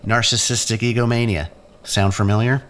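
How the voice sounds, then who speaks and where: A man's voice: lowish voice, fairly even intonation, normal speed, fairly bright tone, lightly acted. A man acting in a science fiction audio drama as a bitter, sardonic younger-brother scientist, with mocking, theatrical, erudite delivery. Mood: mocking, accusing. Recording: faint noise and room ambience.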